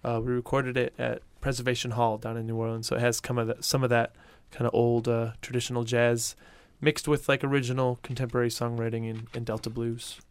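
Smooth-voiced friendly male musician guest. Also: medium pitch, brisk, hardly any pauses, dry recording. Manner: friendly, relaxed, many fillers. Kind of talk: casual radio interview. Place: radio studio.

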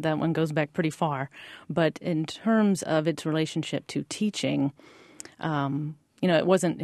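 A woman's voice: deep voice, a little mellow, no room sound, studio quality. An American woman from Georgia, a college teacher, answering interview questions informally and thinking aloud as she goes. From Georgia, United States.

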